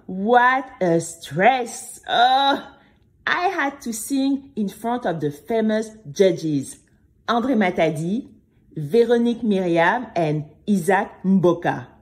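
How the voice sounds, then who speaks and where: A woman's voice: medium pitch, slow pace. A woman playing a chatty, dramatic young girl who tells her own story straight to listeners in an audio drama for children. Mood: excited, nervous. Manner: expressive, confiding, exclamatory, addressing listeners directly. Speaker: narrator and main character.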